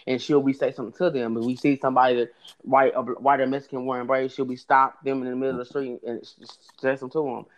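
A man with a high voice telling a story in casual chat, rambling with many restarts. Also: little pitch movement, fast, highs cut off. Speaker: podcast guest telling a story.

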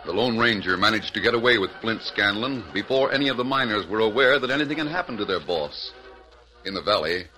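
A man narrating a radio drama in a measured, scripted storytelling style. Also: lowish voice, expressive, sharp tone, faint music behind, rough recording.

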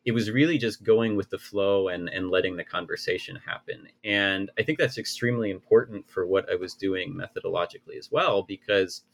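Low gravelly man, measured academic. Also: fairly bright tone. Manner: measured, hedging, many restarts. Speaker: author and researcher. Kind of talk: academic interview answers.

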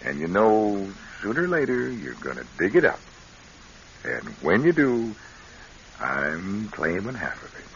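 Sly folksy schemer, wildly swooping. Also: male voice, lowish voice, huge pitch swings, measured pace, heavily acted. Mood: smug, needling. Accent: American. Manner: drawling folksy bad grammar, teasing. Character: sly, folksy, needling male schemer angling for stolen money. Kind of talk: classic radio drama acting. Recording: hissy, muffled old radio recording.

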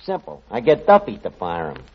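Wisecracking New tavern schemer. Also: male voice, lowish voice, huge pitch swings, fairly quick, muffled recording. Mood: scheming. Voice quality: streetwise slangy patter, mangled grammar. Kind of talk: 1940s radio comedy acting. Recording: old radio broadcast, studio audience.

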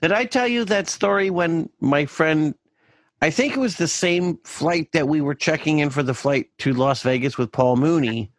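A man's voice: on the high side, moderate pace, no room sound. A man telling a story in a casual comedy podcast chat, swearing freely and jumping into quoted voices.

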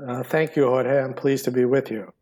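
A man in his sixties from Cuba, a book author answering interview questions in a halting way with many fillers and restarts. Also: mid-range voice, steady tone, dark tone. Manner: hesitant, full of fillers and restarts. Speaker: guest author. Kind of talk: podcast interview.

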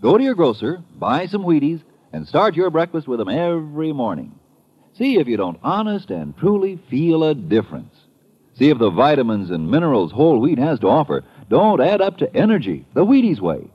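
A folksy American man selling on old-time radio. His voice is fairly high and husky, with very animated, emphatic delivery. The recording sounds slightly dull. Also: moderate pace. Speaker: commercial pitchman. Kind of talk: 1950s radio advertisement.

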